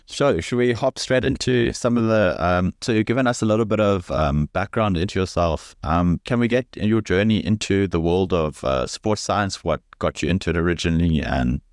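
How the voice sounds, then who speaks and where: A man hosting a podcast interview, asking questions hesitantly with frequent pauses and ums. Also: low voice, no room sound, studio quality. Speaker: podcast host.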